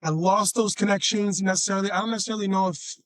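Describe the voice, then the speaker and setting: A man from Chicago with a raspy voice, a podcast guest telling stories in a laid-back, playful way and often repeating his phrases. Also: high voice, fairly even intonation, fast, fairly bright tone, no echo. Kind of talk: casual storytelling chat.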